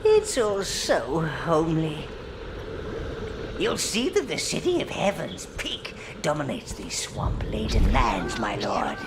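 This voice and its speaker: A man voicing a wizened, scheming old minion who advises his dark lord, in a video game. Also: on the high side, huge pitch swings, noisy background, full character voice. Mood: gloating. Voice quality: gravelly, croaking. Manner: slow, relishing, theatrical. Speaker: game character. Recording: game audio with loud music behind.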